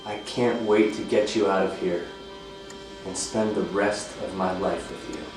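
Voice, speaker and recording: Menacing sweetheart for radio thriller: deep, sweet-talking, music behind, very reverberant. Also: male voice, fairly even intonation, a little slow. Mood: sinister tenderness. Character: menacing husband or lover with sinister sweet talk. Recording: very echoey room, music behind.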